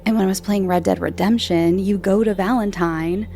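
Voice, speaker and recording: A woman's voice: mid-range voice, steady tone, normal speed, a little mellow, soft background music. A woman hosting a podcast, answering listener questions in a casual, rambling chat full of fillers and restarts. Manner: chatty, meandering, many fillers and restarts.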